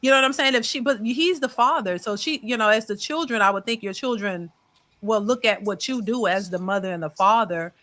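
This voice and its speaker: A woman on a casual panel, hesitating and hedging as she thinks through her answer out loud. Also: mid-range voice, a little fast. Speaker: channel host and panelist.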